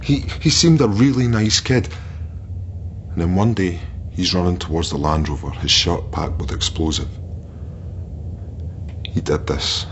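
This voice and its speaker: Very deep-voiced, brooding bitter man. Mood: haunted, bitter. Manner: brooding, halting, insistent. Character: bitter, unstable ex-soldier captor nursing betrayal. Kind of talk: naturalistic audio drama acting. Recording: dull muffled audio, faint noise.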